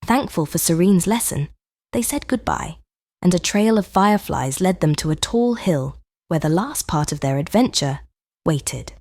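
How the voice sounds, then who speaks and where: Low-pitched woman reading a bedtime story. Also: animated, moderate pace, darkish tone, no room sound, clean studio sound. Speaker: children's story narrator. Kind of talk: scripted bedtime storybook narration.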